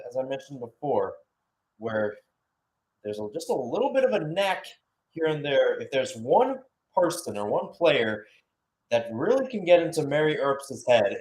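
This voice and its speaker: A man's voice: medium pitch, very animated, unhurried, darkish tone, poor audio. Male football play-by-play commentator. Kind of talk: live radio-style sports commentary. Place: commentating remotely, not at the stadium.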